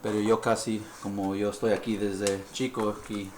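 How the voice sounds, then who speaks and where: A man from California who grew up speaking English, presenting a live-stream dental lesson in halting Spanish that he apologizes for, mixing in English words. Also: lowish voice, little pitch movement, darkish tone, slight background noise. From United States (California). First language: English (heritage Spanish speaker). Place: dental lab. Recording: echoey lab room, faint ambience.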